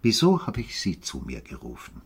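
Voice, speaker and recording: Very deep, creaky stern official. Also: male voice, wildly swooping pitch, moderate pace, exaggerated voice. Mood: stern, probing. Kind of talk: German radio play acting.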